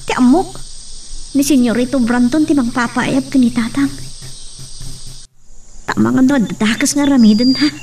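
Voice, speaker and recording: A young daughter played by a woman who is anxious and close to tears over her family, in a radio drama. Her voice is high and sits back from the mic. Faint noise hums underneath. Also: moderate pace, pauses often, a little mellow, distant mic. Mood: worried. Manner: anxious, tearful.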